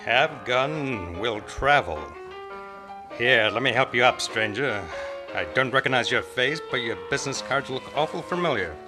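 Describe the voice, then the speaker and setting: A man's voice: medium pitch, lively intonation, normal speed, lightly acted. Man acting a folksy Western marshal, music behind. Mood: friendly, wondering. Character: drawling old-West frontier marshal, courteous and folksy. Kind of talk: amateur old-time radio play acting. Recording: live club performance with music behind.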